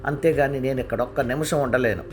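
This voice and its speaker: A man's voice: medium pitch, fast talker, over music, close to an everyday voice. A man voicing an angry young wife in an audio story, scolding and demanding in a raised voice. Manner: scolding, heated.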